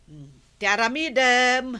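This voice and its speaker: A woman playing a village captain's nagging wife in an Ilocano radio comedy drama, coaxing insistently in drawn-out phrases. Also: fairly high pitch, sing-song, sharp tone, clear, resonant tone, exaggerated voice. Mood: scolding, coaxing.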